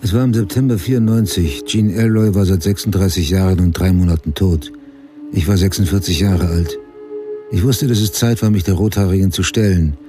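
An actor playing a middle-aged American male crime writer, narrating his dark memories in a deliberate, unsentimental radio drama style. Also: low voice, natural voice. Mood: sober, resolved. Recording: music behind the voice.

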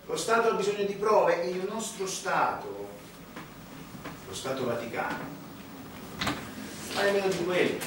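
Corrupt Vatican cleric, cynical and theatrical; heavily reverberant, distant mic. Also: male voice, on the high side. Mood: mocking. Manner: dramatic, sardonic, swinging between hushed and loud. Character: cynical corrupt Vatican priest, menacing and mocking. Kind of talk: theatrical stage monologue. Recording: echoey, distant, noisy stage recording.

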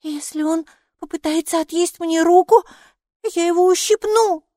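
A woman voicing a lively, stubborn, inquisitive little girl in a children's audiobook, speaking emphatically. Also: extremely high pitch, measured pace, no room sound, clean studio sound, voice pushed up. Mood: earnest. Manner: emphatic, excitable, matter-of-fact.

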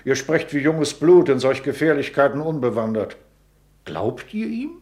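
A man's voice: mid-range voice, big pitch swings, moderate pace, a little mellow. An actor playing a pompous, scolding old courtier and father in a German radio play, speaking sententiously and theatrically. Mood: prying, scolding.

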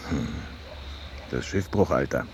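Very deep-voiced, wry older man. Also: expressive, slow pace, darkish tone, slight background noise, heavily acted. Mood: wry, reflective. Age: 50s. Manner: wry, aphoristic. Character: gruff, wry, philosophical veterinarian aged fifty-nine. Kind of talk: radio drama acting.

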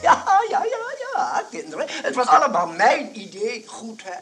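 A man's voice: very high voice, very animated, normal speed, bright, forceful tone, soft background music, exaggerated voice. An actor playing a mischievous, chatty male neighbour in a Dutch radio comedy. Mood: gleeful. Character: mischievous, chuckling male neighbour who schemes pranks.

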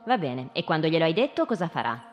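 A woman's voice: sits low, big pitch swings, fast, highs cut off. A woman in a radio play, speaking conversationally in short, probing questions. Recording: very reverberant hall, faint music.

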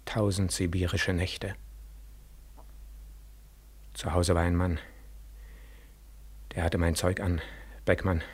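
An actor in a 1940s German radio drama playing a dead war returnee, a mournful, gently accusing ghost who speaks slowly and solemnly with heavy pauses. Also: male voice, sits low, flat delivery, breathy edge, full character voice. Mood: sorrowful reproach. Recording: 1940s radio studio recording.

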